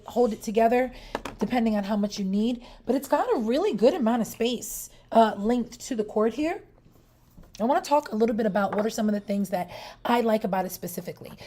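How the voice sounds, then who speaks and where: A woman's voice: on the high side, dark tone, a little hiss or hum. A woman with a Puerto Rican accent, casually reviewing a product live, chatty and loose, with restarts and asides to the viewers. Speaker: product reviewer on a shopping livestream.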